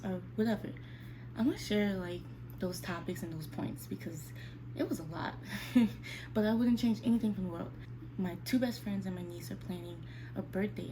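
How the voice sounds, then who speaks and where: Young woman vlogging over noticeable background noise. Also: on the low side. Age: 29, almost 30. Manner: confiding, conversational, addresses listeners directly. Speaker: vlogger speaking to her viewers. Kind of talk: casual, candid sit-down chat.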